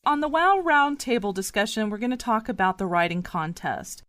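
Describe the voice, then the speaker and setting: A woman's voice: fairly high pitch, very animated, normal speed, fairly bright tone, dry recording, soft background music. Dry, close-sounding woman podcast host. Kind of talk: roundtable podcast presenting.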